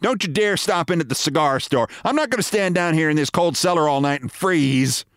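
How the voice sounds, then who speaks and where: A man's voice: a little high, lively intonation, fairly quick, fairly bright tone, no room sound, studio quality. A man voicing a nagging, bossy middle-aged wife with a scolding, exasperated and sarcastic manner.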